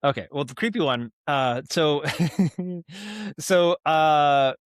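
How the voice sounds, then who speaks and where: A man speaking as a guest in a casual interview, telling stories in an animated, halting way with asides and vocal sound imitations. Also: on the high side, no echo, clean studio sound. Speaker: guest, audio drama writer and director. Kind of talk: casual podcast interview, storytelling.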